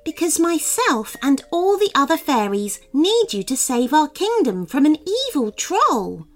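A woman's voice: sits high, sing-song, a little slow, soft background music, pitched above the performer's own voice. A woman voicing a tiny, urgent and pleading fairy in a children's story. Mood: earnest.

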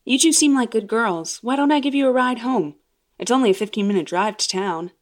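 A woman's voice: a little high, brisk, pitched above the performer's own voice, lightly acted. A woman voicing a creepy, falsely genial man of the woods in a horror story, coaxing and patronizing.

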